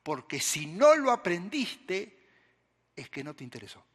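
An Argentine man, a pastor, preaches in a high, bright voice that leaps up and down with lively energy. He speaks slowly and deliberately and turns often to his listeners. The sound carries a long reverb, as in a large hall. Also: slightly rough. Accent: Argentine Spanish. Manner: animated, storytelling preacher who addresses the congregation directly. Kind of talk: sermon.

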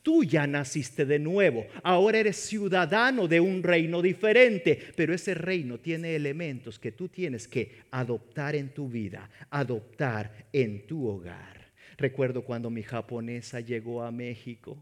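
A man from El Salvador preaching a sermon as pastor, animated and joking as he speaks straight to the congregation. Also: on the high side, unhurried.

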